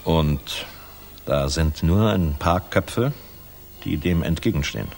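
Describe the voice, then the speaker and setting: An actor playing a cynical, jovial wartime German air force general in a 1960s radio drama, blunt, colloquial and worldly. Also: male voice, rumbling low, takes it slowly, creaky, darkish tone, faint music behind, heavily acted. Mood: grave, urgent.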